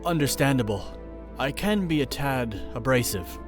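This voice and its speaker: An actor playing a smug village chief in an audio drama, pleasant on the surface and condescending underneath. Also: male voice, mid-range voice, expressive, normal speed, close to an everyday voice. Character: smug, falsely warm village chief, portly man. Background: music.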